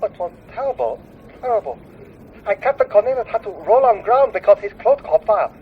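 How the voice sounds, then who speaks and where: A man playing a shaken eyewitness on a taped news report in a radio drama, earnest and halting. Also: high voice, expressive, a little hiss or hum. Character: shaken male eyewitness recounting a crash to local news. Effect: played back from tape. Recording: tinny, lousy taped news recording.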